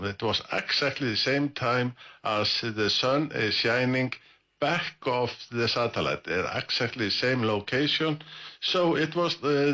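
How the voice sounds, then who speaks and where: A man's voice: medium pitch, bright, forceful tone. A middle-aged man with an Icelandic accent, speaking English as a second language, presenting on a live amateur radio stream in a casual, rambling way with many fillers and self-corrections. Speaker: ham radio net presenter.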